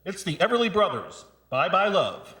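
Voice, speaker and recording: High, lively 1950s radio DJ man. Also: normal speed, roomy sound. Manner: upbeat salesman-like announcer delivery. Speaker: radio disc jockey. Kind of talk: 1950s radio DJ patter and ad read.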